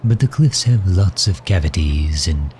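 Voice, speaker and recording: A man playing a suave, protective villain in an ASMR audio roleplay, speaking in a gentle, courtly, formal and measured manner. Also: sits low, lively intonation, slight background noise. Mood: reassuring. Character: suave, protective male villain doting on his captive guest.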